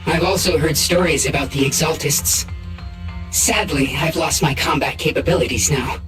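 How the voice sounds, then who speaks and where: An actress voicing a polite, apologetic former fighter in a fantasy game, speaking in a courteous, measured way. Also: female voice, low voice, faint music behind, natural voice. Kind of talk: English video game voice acting. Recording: roomy echo on the voice.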